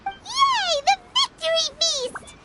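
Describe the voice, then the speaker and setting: Squeaky, very high-pitched tiny companion creature. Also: female voice, very animated, takes it slowly, very noisy, exaggerated voice. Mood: eager, excited. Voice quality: squeaky, childlike. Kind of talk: video game character acting. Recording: loud music behind the voice.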